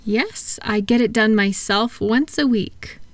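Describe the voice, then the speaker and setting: A woman speaking softly and gently, at times breathy, in an unhurried, reassuring one-sided ASMR roleplay as a calming practitioner. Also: a little high, no echo. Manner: soothing, reassuring, unhurried, asking gentle questions. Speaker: audio roleplay performer playing a thought-calming practitioner.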